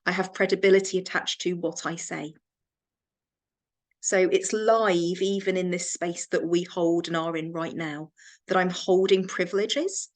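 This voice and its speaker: An English woman, a counsellor and trainer, giving a reflective training talk with measured pacing and frequent restarts. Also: lowish voice, steady tone.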